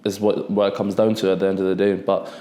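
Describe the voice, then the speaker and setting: A man in his twenties talks through his story in a loose, rambling way, circling back and repeating phrases. His voice sits low and mellow with little rise and fall. The room around him rings with noticeable echo. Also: level tone, fast talker. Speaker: football defender, guest. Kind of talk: casual podcast interview. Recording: echoey room.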